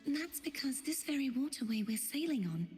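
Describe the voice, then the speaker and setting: An actress playing an earnest, composed young female guide in a fantasy video game, with a soft, breathy voice. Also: sits high, expressive, moderate pace. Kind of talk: video game dialogue acting. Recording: long reverb, music behind. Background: game music.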